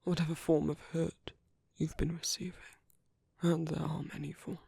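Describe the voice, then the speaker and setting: A British man playing a caring, fatherly vampire priest in an ASMR roleplay, speaking softly and slowly into a close microphone with formal, gentle courtesy. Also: on the high side, little pitch movement, no room sound, exaggerated voice. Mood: reassuring, gently curious. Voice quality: soft, breathy, often whispering. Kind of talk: soft ASMR roleplay, intimate and slow. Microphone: close microphone, dry.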